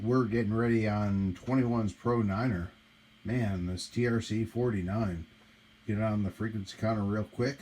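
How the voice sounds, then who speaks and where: Man, low voice, informal repair-channel host, enthusiastic, hands-on demo, faint room noise. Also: darkish tone. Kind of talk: casual hands-on repair demonstration. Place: small indoor home workshop. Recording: noisy room ambience on camera mic.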